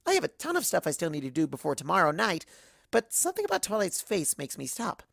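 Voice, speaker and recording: A woman's voice: on the low side, huge pitch swings, fast talker, gravelly, no room sound, clean studio sound. A woman reading a first-person cartoon fan story aloud, performing the narration in character.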